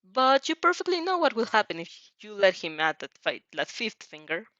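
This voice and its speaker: A woman's voice: medium pitch, big pitch swings, normal speed, sharp tone, no room sound, dull audio. A woman narrating a second-person story aloud in an emotive, theatrical way. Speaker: narrator reading fan fiction aloud. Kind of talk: dramatic second-person story reading with reactions.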